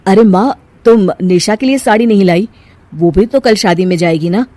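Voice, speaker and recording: A woman voicing a gentle, long-suffering young husband in a cartoon family drama, mild and earnest. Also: medium pitch, normal speed, no echo, close to an everyday voice. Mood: hurt.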